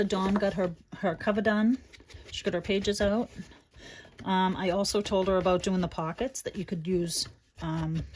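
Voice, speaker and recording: Boston lady chatting thru a craft project, lowish voice, clicky crafting noise behind. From Boston area, USA. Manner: chatty, thinks aloud, drops into quiet asides. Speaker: crafting channel host. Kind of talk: casual crafting tutorial chat.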